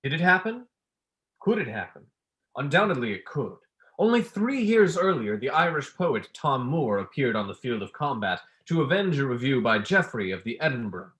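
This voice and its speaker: A student actor playing a pompous, easily wounded academic, declaiming in a staged play reading. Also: male voice, mid-range voice, very animated, normal speed, a bit bright. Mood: declamatory, self-important. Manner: declamatory, oratorical.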